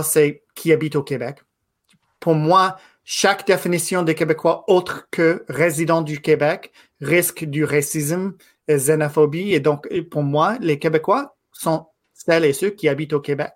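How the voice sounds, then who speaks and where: A man's voice: fairly high pitch, fairly even intonation. English-speaking man talking in careful French. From Quebec. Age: 30s. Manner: thoughtful, halting, with frequent pauses and restarts. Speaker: guest. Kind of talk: casual interview conversation.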